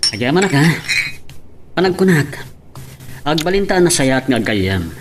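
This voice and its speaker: A man's voice: fairly high pitch, big pitch swings, moderate pace, noisy background. A man playing a friendly young man in love in a radio drama, with a Filipino accent. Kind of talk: Ilocano radio romance drama acting.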